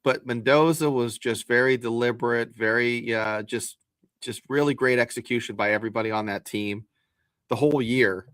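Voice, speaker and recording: A man's voice: mid-range voice, normal speed, fairly bright tone, no echo. A man co-hosting a sports podcast, explaining his takes in a folksy, casual way. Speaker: podcast co-host.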